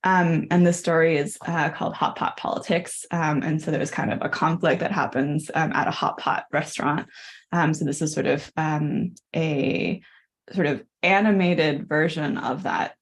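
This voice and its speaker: A woman's voice: low-pitched, fairly even intonation, moderate pace. A woman presenting her project at an online symposium, speaking hesitantly with many fillers. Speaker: presenting researcher.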